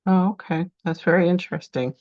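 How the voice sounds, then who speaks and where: A woman from the Caribbean interviews her guest in a relaxed, chatty way. Her voice sits very low for a woman. The sound is dull and lo-fi. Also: brisk. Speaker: host.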